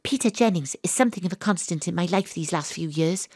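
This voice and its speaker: A woman playing a gruff, dogged private detective who tells her own case in a dramatic, atmospheric audio drama delivery. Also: lowish voice, animated, fast, a little mellow, no room sound, clean studio sound. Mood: tense, hushed. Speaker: lead actress and narrator. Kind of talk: first-person audio drama narration.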